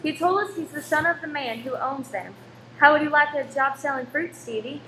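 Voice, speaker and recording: A woman acting a sensible, kindly elder sister in a radio play, gentle and polite. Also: high-pitched, normal speed. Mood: lightly teasing. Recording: echoey room with faint noise.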